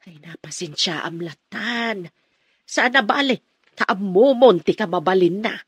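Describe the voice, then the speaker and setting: An actress playing a protective, sympathetic mother who gently scolds, in an Ilocano radio drama. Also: medium pitch, expressive, unhurried, husky, no room sound. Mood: concerned.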